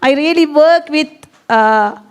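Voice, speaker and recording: A woman from Sri Lanka, speaking up haltingly at a community event in an indoor hall. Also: high-pitched. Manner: halting, non-native phrasing. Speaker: event attendee.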